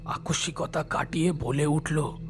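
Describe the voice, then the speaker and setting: Guy doing solemn tantric narrator for a horror audio drama, slow n creaky, music behind. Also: on the high side, close to an everyday voice. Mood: solemn, hushed. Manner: slow, hushed, deliberate storytelling. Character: solemn, compassionate male tantric storyteller. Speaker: narrating lead character.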